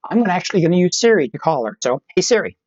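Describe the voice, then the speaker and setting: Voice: medium pitch, sing-song, racing, a little mellow, no echo, dull audio. A middle-aged instructor giving live online tech training step by step, often checking in with "right?" as they explain.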